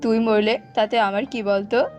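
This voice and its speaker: A woman's voice: fairly high pitch, fairly even intonation, moderate pace, a little mellow, soft background music, natural voice. A woman voicing a selfish male crow husband in a cartoon, scolding, impatient and dismissive. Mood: scornful.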